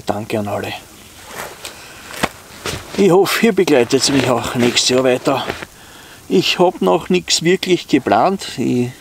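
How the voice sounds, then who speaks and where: A man's voice: mid-range voice, a little hiss or hum. A man with an Austrian accent talks casually and unscripted to his viewers in an easygoing, rambling way, as an outdoor vlogger. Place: outdoors in snowy woods.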